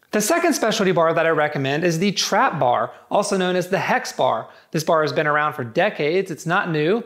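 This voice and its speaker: Higher-voiced man reviewing gym gear. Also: a bit bright. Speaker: home gym equipment reviewer. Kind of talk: scripted product review narration.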